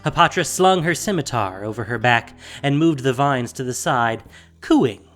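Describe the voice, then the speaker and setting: A man narrating a scripted fantasy audio drama with measured delivery and dramatic pauses. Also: mid-range voice, animated, a bit bright. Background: faint music.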